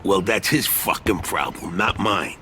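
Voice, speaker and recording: An actor playing a crooked, domineering middle-aged mob boss with a working-class East Coast American accent, in dramatic game cutscene style. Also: male voice, sits low, animated, unhurried, sharp tone, slight background noise, exaggerated voice. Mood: menacing, dismissive. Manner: blunt, domineering, profane. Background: background music.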